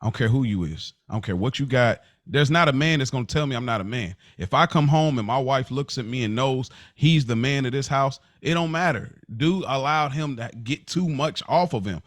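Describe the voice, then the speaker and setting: A man's voice: medium pitch, fairly quick, hardly any pauses, no echo. American male co-host, mid-range voice, dry recording, emphatic and opinionated conversational delivery. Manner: emphatic, assertive, repeats key points. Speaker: podcast co-host. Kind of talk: opinionated casual discussion.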